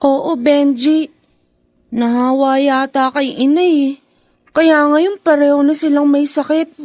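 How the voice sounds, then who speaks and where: A very high, girlish voice as a teenage Filipino big sister in a radio drama. She is protective and fussing, caring for her family. The sound is dry and close. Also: steady tone, measured pace, darkish tone, no room sound. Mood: worried.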